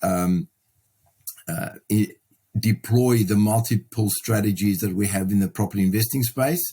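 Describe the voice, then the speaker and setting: A middle-aged man from Sudan and South Africa answering interview questions as a podcast guest, in a halting, measured way that often repeats words. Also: lowish voice, warm tone, slightly rough. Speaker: podcast guest, property investor and mentor.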